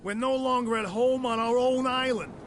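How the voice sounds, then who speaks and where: A worked-up sailor, high and sharp, ranting and bragging. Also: male voice, fairly even intonation, moderate pace, a little hiss or hum. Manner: ranting and boastful. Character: angry boastful male sailor. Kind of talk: video game voice acting.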